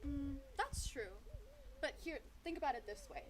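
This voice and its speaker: A woman voicing a big, kindly elephant mentor in a children's story, thoughtful and gently questioning. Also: sits high, expressive, moderate pace.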